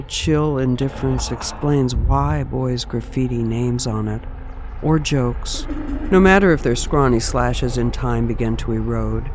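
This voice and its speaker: Male reader for reflective literary recitation, unhurried and deliberate, faint background noise. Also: medium pitch, a little mellow.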